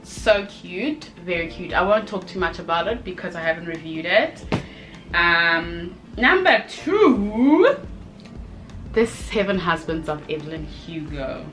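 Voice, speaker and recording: A young woman chatting casually in a book review video, expressive and given to emphatic repetition. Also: lowish voice, a little slow, soft background music.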